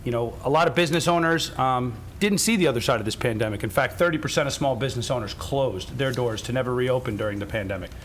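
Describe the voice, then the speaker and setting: A young man from Queens, giving a casual opening statement as a council candidate, using fillers and quick bursts. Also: medium pitch, fairly bright tone, a little hiss or hum. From Queens, New York. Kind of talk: semi-prepared opening statement.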